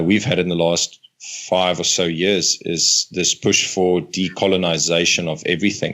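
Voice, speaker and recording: A man's voice: sits low, a bit bright. A man hosting a podcast, talking in an easygoing, agreeable, conversational way. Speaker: podcast host. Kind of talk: casual podcast interview.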